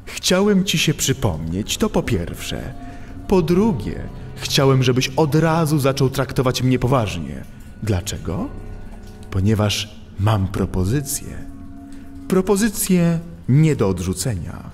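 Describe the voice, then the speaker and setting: A man's voice: mid-range voice, big pitch swings, takes it slowly, darkish tone. An actor playing a smug, mocking animal thief and gang boss in a children's audio drama, savoring his words theatrically. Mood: scheming, insistent. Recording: long cavernous reverb, music behind.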